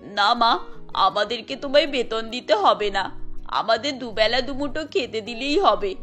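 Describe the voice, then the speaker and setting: A woman playing a poor, anxious village mother in a cartoon story, speaking gently and imploringly. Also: a little high, animated, measured pace. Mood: humble pleading. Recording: music bed under the voice.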